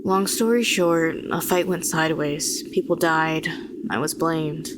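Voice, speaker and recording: A woman playing a weary, bitter telepathic villain who was once a hero, speaking to the listener in a sardonic, deliberate way in an audio roleplay. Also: deep voice, steady tone, noisy background. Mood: resigned, wry. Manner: deliberate, sardonic, rhetorical questioning, condescending endearments.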